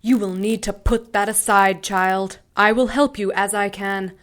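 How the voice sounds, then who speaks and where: Woman acting slow, solemn, commanding angel. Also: medium pitch, fairly even intonation, close to an everyday voice. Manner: grave, measured, formal. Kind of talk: radio drama acting.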